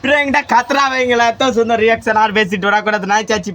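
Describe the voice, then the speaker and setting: A man's voice: very high voice, racing, a bit bright. A man hosting an unscripted prank call, chatting to the caller and to viewers.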